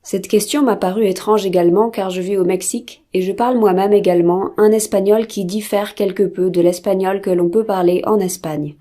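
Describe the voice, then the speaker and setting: Mellow-voiced woman asking polite questions. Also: medium pitch, steady tone, a little fast, hardly any pauses. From Argentina. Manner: polite, inquiring. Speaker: interviewer. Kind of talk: formal interview questions.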